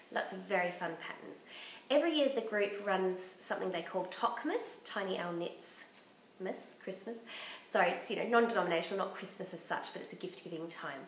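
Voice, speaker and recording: A woman hosting a knitting podcast in casual chat, her voice croaky from bronchitis, chatty and enthusiastic. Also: lowish voice, reverberant, a little hiss or hum, rough recording. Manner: chatty and enthusiastic, laughs often.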